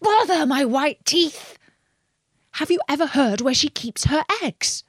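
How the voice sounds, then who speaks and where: Brave, impatient young male mongoose, read by a woman, brisk and sharp, storybook. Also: high voice, expressive, dry recording, studio quality, voice pushed up.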